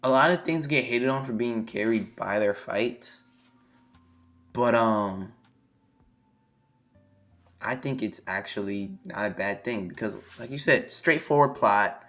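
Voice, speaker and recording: A hoarse young man on a live stream, reading a manga chapter aloud and reacting excitably, swearing freely. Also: lowish voice, takes it slowly, long pauses. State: hoarse, losing his voice. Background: faint music.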